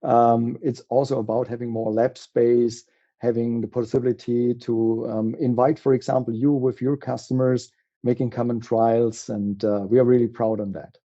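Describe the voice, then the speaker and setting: German-accented man with a very dark voice. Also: mid-range voice, level tone, highs cut off. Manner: halting, with frequent fillers and restarts. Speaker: podcast guest. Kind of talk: conversational interview.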